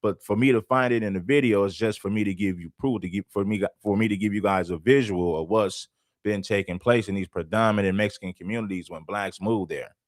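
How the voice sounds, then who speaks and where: A man's voice: fairly low pitch, brisk, no room sound, studio quality. A man hosting a livestream, commenting bluntly and arguing his point to viewers. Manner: blunt, argumentative. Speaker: host.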